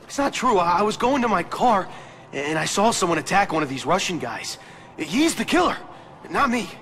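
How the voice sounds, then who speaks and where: A man's voice: sits high, very animated, moderate pace, a bit bright, slight background noise, heavily acted. An actor playing a weak, frightened captive salesman in a video game, stammering and pleading. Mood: anxious, explaining. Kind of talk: video game cutscene acting.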